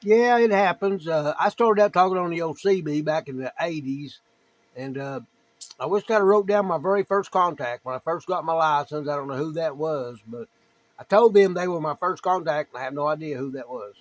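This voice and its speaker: A man's voice: fairly high pitch, moderate pace, low-quality recording. A man with an East Tennessee accent chatting casually as a ham radio operator, in a folksy, plain-spoken way. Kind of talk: casual chat and demonstration over ham radio.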